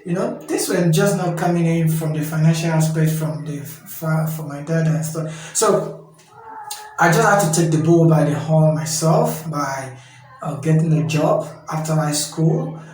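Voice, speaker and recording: A Nigerian man in his thirties, a guest in a casual interview, talking haltingly with many fillers and frequent checks of "you understand?". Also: a little high, a little mellow. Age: 30s. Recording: heavy echo with faint music.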